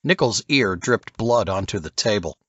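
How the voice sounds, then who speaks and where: A man's voice: lowish voice, animated, normal speed, a bit bright, slightly rough, muffled recording. A man reading a novel as scripted narration, telling the story as an audiobook narrator.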